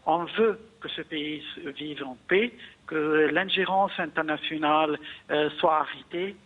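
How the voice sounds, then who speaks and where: A man from Egypt comments as a guest analyst in a news interview. His voice sits fairly high for a man, and he speaks haltingly, repeating small words as he builds his points. The sound is narrow and phone-like.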